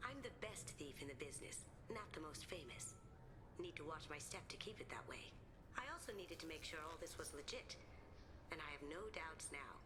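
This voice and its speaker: An actress playing a playful, sly master thief in a video game, breezy and teasing. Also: female voice, deep voice, lively intonation, normal speed, noisy background. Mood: breezy, confiding.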